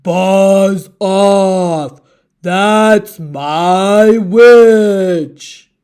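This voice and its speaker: A horrible, possessive storybook beast, played by a woman. It bellows its threats loudly and drags them out extremely slowly. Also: medium pitch, clean tone. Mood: threatening. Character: horrible four-headed beast, loud and possessive.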